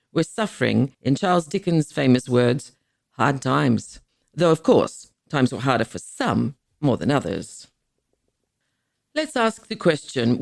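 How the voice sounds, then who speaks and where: A woman reading a scripted history podcast as host, in a measured, explanatory way, posing questions to the listener. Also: deep voice, gravelly, a little mellow, no echo, clean studio sound.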